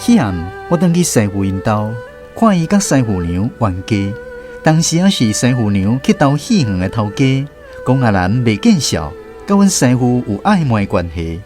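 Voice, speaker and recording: A man's voice: mid-range voice, sing-song, moderate pace, music in the background, lightly acted. A man playing a grown storyteller reflecting on his boyhood, narrating a radio drama with a Taiwanese accent. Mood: reflective.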